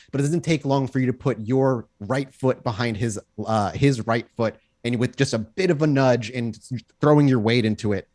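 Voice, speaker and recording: A man's voice: medium pitch, a little mellow. A man running a tabletop role-playing game, improvising descriptive narration in a conversational way as storyteller. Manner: descriptive, conversational, checks in with players.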